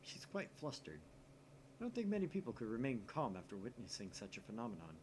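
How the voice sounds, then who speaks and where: A man's voice: mid-range voice, wildly swooping pitch, quick pace, a little mellow, a little hiss or hum, low-quality recording. A man reading first-person visual novel narration aloud as a storyteller. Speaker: gaming channel reader and narrator.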